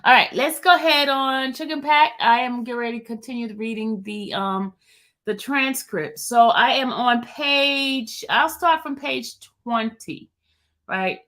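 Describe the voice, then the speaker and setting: A woman's voice: a little high, measured pace, a bit bright. A woman hosting a live stream, chatting casually, greeting viewers, and rambling before she reads.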